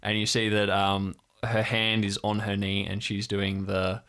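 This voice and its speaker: A man runs an improvised tabletop drama as game master. His voice sits on the low side, and he narrates slowly and informally, pausing often as he pieces the scene together. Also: completely flat delivery, a bit bright.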